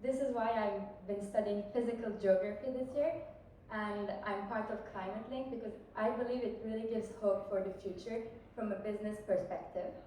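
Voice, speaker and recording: A young woman from Turkey, an undergraduate student, giving a clear, prepared introduction. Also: medium pitch, fairly even intonation, moderate pace, warm tone, a little hiss or hum. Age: young adult. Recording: echoey room, distant mic.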